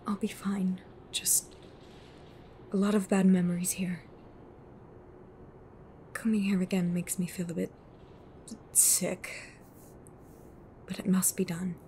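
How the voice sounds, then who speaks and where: Actress as haunted, secretive woman for audio drama: cryptic, grave, unhurried delivery. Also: on the low side, level tone, mellow, a little hiss or hum. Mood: haunted, resolute. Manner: cryptic, slow, deliberate, sighing. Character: haunted, secretive woman fighting a sinister occult group. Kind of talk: audio roleplay drama acting.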